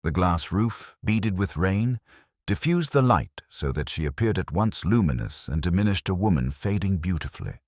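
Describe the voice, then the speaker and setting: A man reading a scripted first-person detective story as narrator, in a measured, solemn, literary manner. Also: low-pitched, very animated, a little mellow, no echo, clean studio sound.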